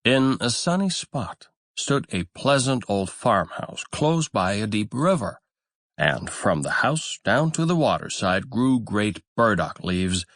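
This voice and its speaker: A man narrating a fairy tale as a scripted audiobook, in a measured, expressive storytelling manner. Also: on the low side, no room sound, studio quality.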